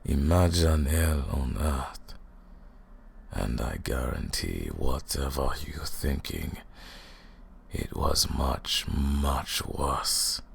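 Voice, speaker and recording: A man's voice: a bass voice, animated, vocal fry, a little hiss or hum, full character voice. A man playing an ancient vampire lord, cold, mocking and condescending, in a theatrical, menacing roleplay monologue. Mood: condescending, grave. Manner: slow, menacing, taunting, theatrical. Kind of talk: scripted ASMR roleplay monologue.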